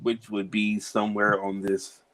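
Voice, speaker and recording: A man's voice: on the low side, monotone, unhurried, fairly bright tone. A man from Cincinnati, an author being interviewed, talking in an easygoing, joking way. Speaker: author guest. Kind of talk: casual podcast interview.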